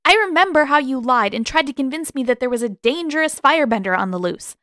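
A woman as an indignant teenage girl, pitched high. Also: expressive, fast talker, no room sound, clean studio sound. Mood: defiant. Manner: sharp, accusing, defiant.

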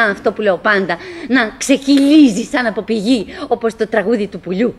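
Actress playing high-voiced wistful, chattering middle-aged woman. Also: natural voice. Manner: theatrical, halting, musing with repetitions. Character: chattering, wistful middle-aged woman, doggedly cheerful, pleading with her husband. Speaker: stage and radio actress. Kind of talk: radio theatre monologue.

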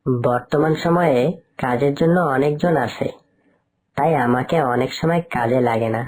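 Struggling male fox labourer for a cartoon, played by a woman: worried, pleading, deep for a female voice. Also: moderate pace, a little mellow. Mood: weary, complaining. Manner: plaintive, pleading. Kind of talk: cartoon dialogue.

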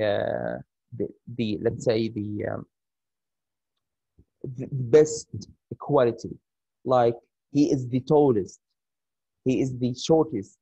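A man with an Arabic accent teaching an English grammar lesson over a shared screen, pausing often with fillers and restarts. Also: mid-range voice, warm tone, dry recording, lo-fi sound. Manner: halting, many fillers and restarts.

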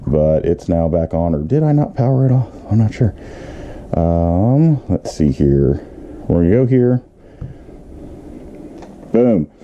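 A man's voice: deep voice, mellow. A middle-aged man giving a casual, rambling how-to walk-through, thinking aloud into a handheld camera. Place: outdoors beside a camper, later inside it. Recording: muffled handheld camera audio with clear background noise.

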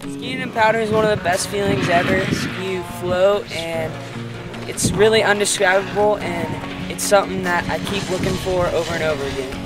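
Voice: mid-range voice, moderate pace, poor audio. Young-sounding voice over guitar music. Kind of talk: casual interview recollection.

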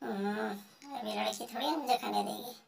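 A woman's voice: a little high, normal speed, gravelly, recorded from a distance. A woman voicing a hungry, scheming female house cat, muttering plans to herself with lots of hums. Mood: sly whispering. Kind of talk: comic pet voice-over.

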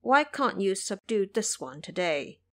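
A woman's voice: medium pitch, big pitch swings, measured pace. A woman voicing a skeptical male monk master in an audio drama, questioning and mildly mocking. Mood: doubtful.

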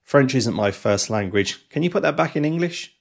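A man in his forties hosting a software tutorial in a presenter style. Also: medium pitch, lively intonation, moderate pace, creaky, slightly rough. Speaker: tutorial host.

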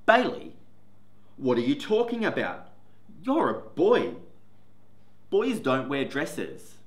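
A man reading aloud and voicing a stern parent who dismisses the child firmly and loudly. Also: medium pitch, huge pitch swings, slow pace, roomy sound.

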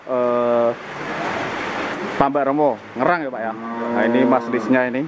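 A man's voice: steady tone, a little slow, lo-fi sound. A young man narrating a casual vlog while touring a cattle shed, talking to viewers as friends. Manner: chatty, addresses viewers as friends, many fillers. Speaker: vlogger touring a cattle farm. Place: large open cattle shed. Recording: noisy barn with rain and cattle. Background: cattle lowing, rain.

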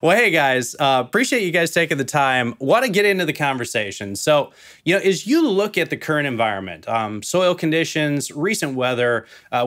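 A man's voice: medium pitch, fairly bright tone. A man in his thirties hosting a casual farm podcast panel over a video call, upbeat and joking. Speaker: podcast host. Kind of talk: casual hosted panel chat. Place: home office, indoors. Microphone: video call, webcam mic.

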